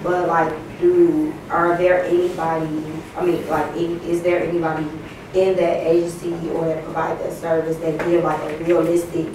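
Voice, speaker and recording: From far off in a very echoey room, an American woman asks a tentative question from the audience. Her voice is on the low side, with clear noise around it. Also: little pitch movement, normal speed. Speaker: audience member asking a question. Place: lecture room audience, away from the microphone. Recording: very echoey, distant, noisy room.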